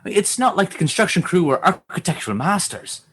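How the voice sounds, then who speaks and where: A man's voice: high-pitched, very animated, brisk, a bit bright, slightly rough, exaggerated voice. An actor playing a fretful, frustrated male chief engineer on a space station in a comedic radio play. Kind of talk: comedic radio theater acting.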